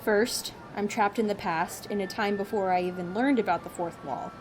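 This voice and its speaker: A woman's voice: medium pitch, normal speed, over music, lightly acted. A woman playing a self-possessed, sceptical heroine in an audio drama, with a dry, articulate manner.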